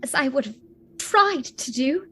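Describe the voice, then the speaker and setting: Woman acting as a disappointed young sweetheart, high-pitched, sorrowful, unhurried, theatrical radio play, faint background noise. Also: expressive, fairly bright tone. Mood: hurt, resigned. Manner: measured, sorrowful, pausing between phrases. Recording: noisy ambience behind the voice.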